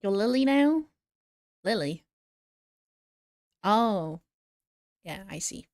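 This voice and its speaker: A woman's voice: medium pitch, animated, drawn-out words, darkish tone, no echo, studio quality. A woman streamer chatting casually and giggling as she answers viewers while she draws. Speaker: VTuber streamer.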